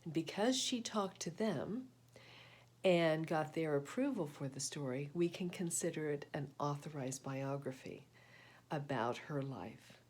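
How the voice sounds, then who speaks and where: A woman reading a children's picture book aloud, with deliberate, expressive phrasing and short explanatory asides. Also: low-pitched. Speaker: read-aloud host, storyteller.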